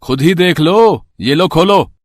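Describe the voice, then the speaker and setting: A man's voice: a little high, animated, a little slow, no room sound, clean studio sound, voice pushed up. A man playing a poor, loving husband in a moral story, speaking earnestly and tenderly. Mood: playful, affectionate. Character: loving, poor husband and worried auto driver. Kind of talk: acted dialogue in a Hindi moral story.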